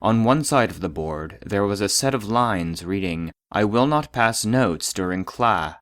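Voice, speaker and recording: An actor playing a formal database voice, reading clinical case logs in a detached, precise manner. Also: male voice, on the low side, lively intonation, measured pace, a bit bright, dry recording, studio quality. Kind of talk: scripted narration of clinical reports.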